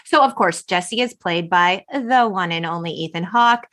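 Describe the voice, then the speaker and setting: A woman's voice: lowish voice, moderate pace, a bit bright. A middle-aged woman hosting a podcast, talking through a film in a reflective, enthusiastic, conversational way. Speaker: podcast host.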